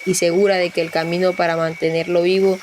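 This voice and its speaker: A woman's voice: on the low side, flat delivery, fast, darkish tone, a little hiss or hum. A woman reading scripted documentary narration as a podcast reporter.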